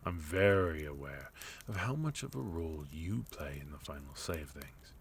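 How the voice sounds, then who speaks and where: Casting: male actor as a suave villain leader for ASMR roleplay; extremely low pitch, courteous, composed, quietly threatening, faint background noise. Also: lively intonation, creaky, heavily acted. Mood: courteous, probing. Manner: measured, courteous, softly menacing, deliberate pauses. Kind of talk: intimate ASMR roleplay monologue.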